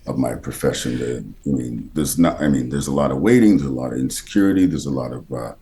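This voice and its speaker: Very deep-voiced man with a textured bass. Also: slight background noise. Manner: halting, many restarts and fillers, drops quieter mid-thought. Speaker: actor and voice actor guest. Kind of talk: casual interview conversation.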